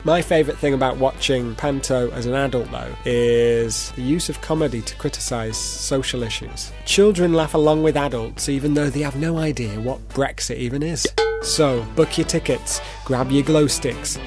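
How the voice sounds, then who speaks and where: A man's voice: mid-range voice, moderate pace, hardly any pauses. Playful man presenting over music. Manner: theatrical, playful. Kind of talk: video essay narration with playful asides.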